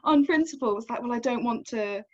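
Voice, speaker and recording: A woman's voice: fairly high pitch, brisk, dull audio. A young woman, chatting in a thoughtful, casual way about literature. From South Wales. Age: young adult.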